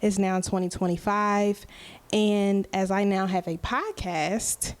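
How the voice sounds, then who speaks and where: A woman in her twenties hosting a solo podcast, talking casually and openly to her listeners, often checking in with "right?". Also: medium pitch. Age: 20s. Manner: slow, unhurried, conversational, often asking "right?". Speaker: podcast host and musician.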